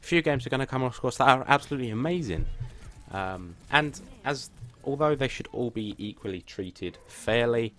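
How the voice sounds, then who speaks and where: A man hosting a gaming channel, giving a casual update to his viewers in a rambling, hesitant way with many restarts. He is self-deprecating and says his own voice is flat and plain. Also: medium pitch, normal speed.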